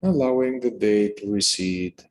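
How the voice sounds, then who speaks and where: Male meditation guide, lower-pitched voice, calm and unhurried, unscripted instruction with space between phrases. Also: rough recording.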